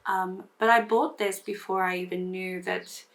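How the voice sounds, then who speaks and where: Hoarse woman chatting through her makeup. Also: medium pitch, fairly even intonation, measured pace, fairly bright tone. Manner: rambling, self-deprecating, many fillers and restarts. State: recovering from lost voice, hoarse. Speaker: beauty vlogger. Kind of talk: casual get-ready-with-me chat.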